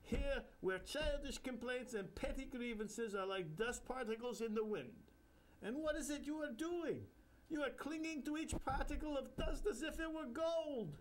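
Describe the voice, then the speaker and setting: A man voicing a gleeful, giggling old male guru spirit with a highly nasal Indian accent and a bubbly, amused manner. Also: expressive, moderate pace, slight background noise, low-quality recording. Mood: lecturing amused.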